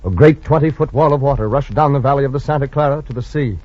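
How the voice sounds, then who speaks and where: A man's voice: medium pitch, quick pace, heavy background noise. A man narrating a scripted 1940s radio drama in a dramatic, grandiose, solemn manner. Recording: muffled old radio recording with music.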